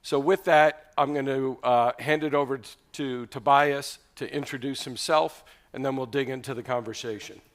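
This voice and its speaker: A man moderating a conference panel on radio, laying out topics in a brisk, structured way. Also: medium pitch, fairly even intonation.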